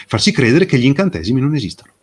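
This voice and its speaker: A man speaking as an interview guest, explaining with passion and emphasis, posing rhetorical questions and pausing for effect. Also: medium pitch, very animated, husky. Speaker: interview guest, fairy tale expert. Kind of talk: impassioned explanatory interview talk.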